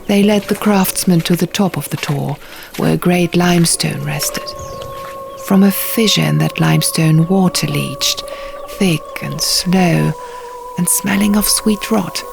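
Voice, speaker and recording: An earnest young man , voiced by a woman, recounts ancient lore in an audiobook. The delivery is theatrical and very slow, with weighted pauses. The voice is slightly low and creaky, with music underneath. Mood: solemn storytelling. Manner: measured, theatrical with pauses for effect. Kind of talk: audiobook character dialogue. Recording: music bed under the reading.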